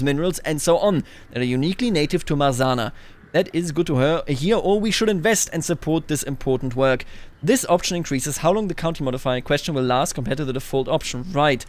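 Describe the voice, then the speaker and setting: Male game streamer, slightly high voice, casual and expressive, thinking aloud between narrated passages. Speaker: gaming video host. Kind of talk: casual game commentary mixed with reading in-game story text aloud.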